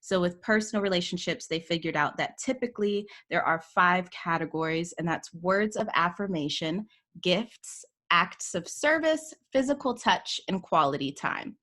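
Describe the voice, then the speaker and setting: A woman's voice: lowish voice, lively intonation, normal speed, few pauses, a bit bright. A woman coach on a video call, chatting enthusiastically with frequent laughs and lots of "like". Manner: enthusiastic, laughs often, says "like" a lot. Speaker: guest, workplace psychology coach. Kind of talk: casual video-call interview.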